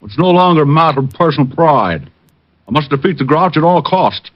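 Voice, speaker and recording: Pompous mock-heroic detective, muffled. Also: male voice, fairly high pitch, lively intonation, a little fast. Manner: pompous, mock-solemn declaiming. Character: mock-heroic, solemn male detective vowing battle. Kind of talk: old-time radio comedy acting. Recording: muffled old radio recording.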